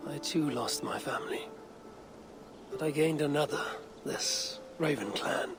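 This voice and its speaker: An actor playing a stoic but warm-hearted Viking clan warrior in a historical game, gravelly and measured. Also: male voice, on the high side, over music. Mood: sincere, reflective. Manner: measured, solemn, plain-spoken.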